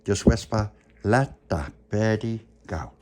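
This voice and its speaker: A man's voice: fairly low pitch, expressive, takes it slowly, mellow. Man acting a bossy, coaxing street magician. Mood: gently instructing. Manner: coaxing, commanding, showman-like patter. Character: friendly, bossy male street magician giving instructions.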